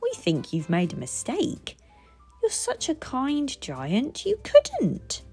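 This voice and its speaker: A woman's voice: sits high, wildly swooping pitch, slow pace, a little mellow, faint music behind, voice pushed up, exaggerated voice. A woman voicing an eager, sweet-natured young child in a storybook, coaxing and pleading. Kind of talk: storybook character voices.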